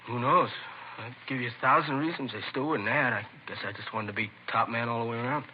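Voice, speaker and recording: Man playing a fast-talking, resigned teenage delinquent. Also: medium pitch, close to an everyday voice. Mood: bitter resignation. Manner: halting, slangy, confessional. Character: remorseful, resigned teenage boy addict, streetwise and bitter. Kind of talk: 1950s radio crime drama acting. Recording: muffled, hissy vintage radio recording.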